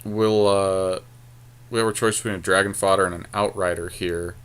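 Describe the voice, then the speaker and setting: A man with a fairly low voice gives casual game commentary. He thinks aloud as he weighs options, in the relaxed conversational style of a podcast co-host. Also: normal speed, clean tone.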